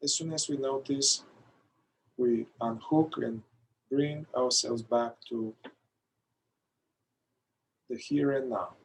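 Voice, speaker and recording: A man leading a guided meditation in a calm, gentle manner, pausing between short phrases. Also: medium pitch, slightly rough, low-quality recording. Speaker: meditation guide, somatic therapist.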